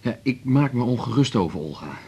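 A man's voice: mid-range voice, expressive, fairly quick, vocal fry, mellow, breathy edge, highs cut off, exaggerated voice. An actor playing a worried, hesitant man in a radio drama, often correcting himself. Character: worried, hesitant male partner of a young doctor.

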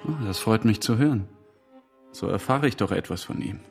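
An actor playing a melancholy German male teacher in a radio drama, with a halting, brooding manner. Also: fairly low pitch, animated, gravelly, faint music behind. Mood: gently weary. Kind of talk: literary radio drama acting.

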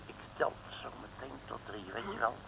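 A gruff detective in an old comic radio play. He has a gravelly, creaky voice and talks in bossy, folksy slang. The recording is old and clearly noisy. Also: medium pitch, normal speed. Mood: tense, hushed. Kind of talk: 1960s Dutch comic radio play acting.

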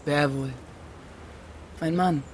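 A woman's voice: low-pitched, steady tone, drawn-out words, a little hiss or hum. An actress playing a brusque, defiant married woman under questioning in a German radio play, answering with curt sarcasm and evasion.

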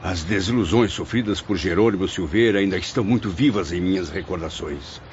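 An actor in a radio drama playing an anguished, fearful spirit of a writer, speaking in formal, solemn language. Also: male voice, low-pitched, fairly quick, a little hiss or hum, close to an everyday voice. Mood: fearful, troubled. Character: Camilo, anguished, fearful male writer's spirit, long dead by suicide. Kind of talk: Brazilian radio drama acting. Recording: dull lo-fi radio drama recording, music bed. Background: music.

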